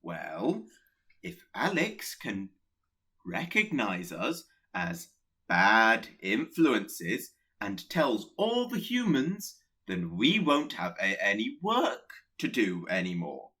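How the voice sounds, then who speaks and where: A man's voice: lowish voice, huge pitch swings, slow, pushed and bright, slightly rough, exaggerated voice. A man playing an anxious, pleading personification of plague in a radio play, hesitant and stammering. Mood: worried, pleading.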